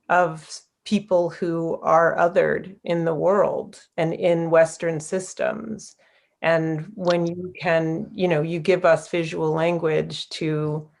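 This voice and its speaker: A woman reflects in a panel conversation about art, talking slowly and thoughtfully. Her voice sits very low, with a gravelly grain. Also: a little mellow. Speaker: panel moderator.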